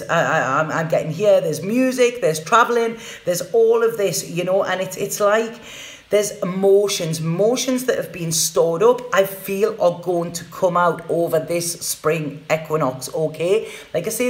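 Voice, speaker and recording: Woman tarot reader, chatty, repeats herself, roomy echoey room. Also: mid-range voice. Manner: chatty, tags sentences with "okay?", repeats words. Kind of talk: live tarot reading, casual chat to viewers.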